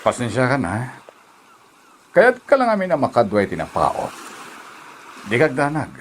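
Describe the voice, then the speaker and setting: Man acting an eager suitor, pitch swooping widely. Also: wildly swooping pitch, unhurried, a little hiss or hum. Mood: tender, pleading. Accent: Ilocano. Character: smitten, eager, persistent young male suitor. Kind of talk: Ilocano radio drama acting.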